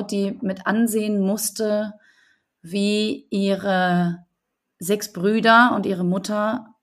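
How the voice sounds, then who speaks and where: A woman author being interviewed, speaking earnestly and thoughtfully with frequent fillers and restarts. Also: mid-range voice. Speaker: correspondent and book author, guest. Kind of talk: conversational podcast interview.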